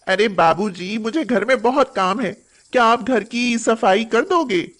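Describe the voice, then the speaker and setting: A woman's voice: on the high side, animated, a little slow. A woman playing a bossy, cruel young daughter-in-law in a moral story cartoon, with a nagging, sarcastic, haughty manner.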